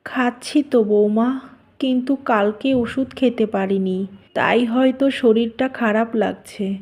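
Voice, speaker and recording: A woman acts as an older mother-in-law in a cartoon tale. Her voice is fairly high, and she speaks as an appreciative family elder. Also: steady tone, unhurried, darkish tone. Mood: weary, fond. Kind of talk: Bengali cartoon story dubbing.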